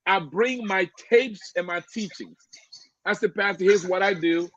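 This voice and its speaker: A man from Cameroon sharing a personal faith testimony as a podcast guest, animated, stumbling over repeated words and laughing often. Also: sits high, unhurried, no echo. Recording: dry, slightly dull lo-fi audio.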